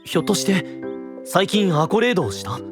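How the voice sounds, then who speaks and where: Kind young knight, expressive pitch. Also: brisk, over music. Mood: friendly. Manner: considerate, friendly, polite-casual. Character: kind, caring young male knight, friendly companion. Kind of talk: Japanese anime-style voice drama acting.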